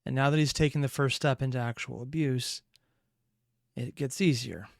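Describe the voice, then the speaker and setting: A man hosts a reaction video with a mid-pitched voice. He muses aloud and analyses off the cuff, recorded close and dry. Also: steady tone, normal speed, creaky, dry recording. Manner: musing, thinking aloud.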